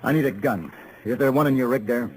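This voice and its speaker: An actor playing a terse, steady gunfighter in an old radio western. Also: male voice, mid-range voice, brisk, close to an everyday voice. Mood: urgent, commanding. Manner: terse, unsentimental. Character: laconic, steady hired gunfighter in the Old West. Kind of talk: 1950s radio western acting. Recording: noisy old radio drama recording.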